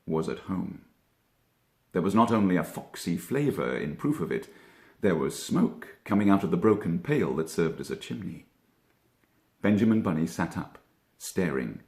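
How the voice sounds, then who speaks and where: A man reading a children's story as an actor would, with expressive narration and deliberate dramatic pauses. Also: low-pitched. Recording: echoey room.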